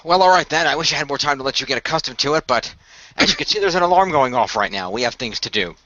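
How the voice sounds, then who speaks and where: Casting: a man as composed male squad leader, matter-of-fact, forward bright voice, close dry mic. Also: mid-range voice, animated, fast, no room sound, lo-fi sound. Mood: businesslike. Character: Noah, brisk composed male leader of a hero team. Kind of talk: amateur radio play acting.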